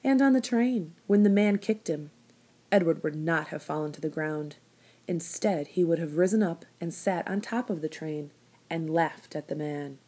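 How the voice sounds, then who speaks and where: Low-voiced woman reading a storybook. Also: normal speed, mellow. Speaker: storybook reader, school staff member. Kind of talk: expressive scripted story narration.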